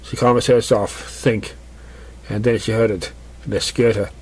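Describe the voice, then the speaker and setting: A man's voice: lowish voice, level tone, a little slow, husky. A man reading a story aloud as an amateur narrator, now and then stumbling over the words. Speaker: amateur fan fiction reader.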